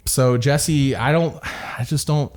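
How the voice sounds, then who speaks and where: A man's voice: medium pitch, slow pace, darkish tone. A man co-hosting a podcast, talking through a reality show in casual chat with restarts and laughs. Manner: rambling, with restarts and frequent laughs. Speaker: podcast co-host.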